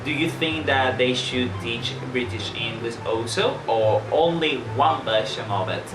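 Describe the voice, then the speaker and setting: Young guy vlogging in english, pitch jumps up a lot, echoey room with loud music under him. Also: a little slow. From Venezuela. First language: Spanish. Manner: chatty, opinionated, swoops up in pitch for emphasis. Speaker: vlogger and former English teacher. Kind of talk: casual talk to camera. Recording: roomy echo with loud background music.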